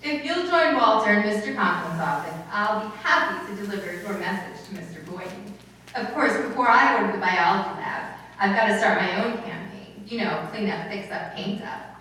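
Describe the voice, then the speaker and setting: A woman's voice: mid-range voice, lively intonation, moderate pace, sharp tone. A woman playing a wry, wisecracking English teacher with dry asides in an old-time radio comedy. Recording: very echoey, distant, noisy room recording.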